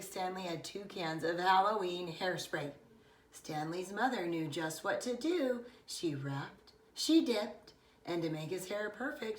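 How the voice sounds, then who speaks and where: Expressive middle-aged woman reading aloud, echoey room. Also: mid-range voice. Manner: expressive, deliberate storytelling with emphasized words. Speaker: preschool teacher. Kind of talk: children's picture book read-aloud. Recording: echoey room, distant microphone.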